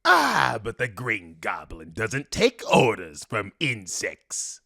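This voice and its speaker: A man voicing a pompous, scheming cartoon supervillain, mocking and grandiose, with a gravelly, forceful voice. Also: lowish voice, wildly swooping pitch, slow pace, no echo, studio quality, exaggerated voice. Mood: mocking, menacing. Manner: pompous, refers to himself in third person. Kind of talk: cartoon villain voice demo.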